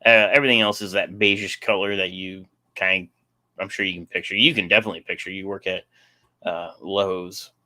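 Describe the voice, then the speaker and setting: A man's voice: sits low, steady tone, brisk, bright, forceful tone. A young man casually hosting a live stream, rambling and answering chat, his voice getting sore.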